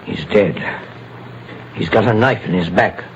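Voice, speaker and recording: An actor as a calm, commanding investigator. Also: male voice, lowish voice, lightly acted. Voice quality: measured, authoritative. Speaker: detective lead. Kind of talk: 1940s radio acting. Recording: dull lo-fi old radio recording, faint hiss.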